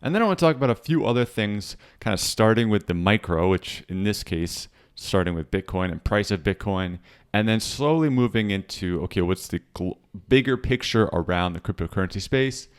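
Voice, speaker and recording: A man with a hoarse voice, hosting a casual market commentary show and rambling through charts with fillers and restarts. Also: lowish voice, animated, fairly quick. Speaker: crypto trading show host.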